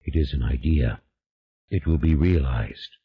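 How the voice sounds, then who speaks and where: A man voicing an invisible ancient male demon, speaking slowly in a hushed, ominous way. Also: very deep voice, slightly rough, lo-fi sound, pitched below the performer's own voice, heavily acted.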